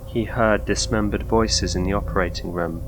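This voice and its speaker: A man narrating a scripted ghost story in documentary style, with measured, formal delivery. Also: fairly low pitch, steady tone, music in the background, rough recording.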